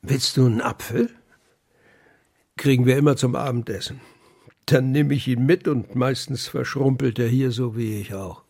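A lonely old pigeon keeper in a children's radio drama. His voice is gravelly and husky, with a rueful manner. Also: medium pitch, fairly even intonation, moderate pace, a little mellow. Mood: resigned, kindly. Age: older. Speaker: actor in a children's radio play.

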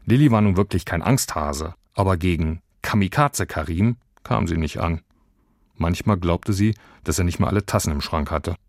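A man's voice: sits low, lively intonation, normal speed, no echo. A man reading a children's story as a scripted narrator in a studio.

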